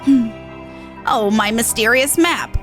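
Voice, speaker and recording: A woman voicing a stern old mother from a fantasy game, measured, courteous and disapproving. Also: fairly high pitch, expressive, a bit bright, faint music behind. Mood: stern courtesy. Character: stern old mother, treasure hunter's formidable parent. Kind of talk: improvised reading of game dialogue.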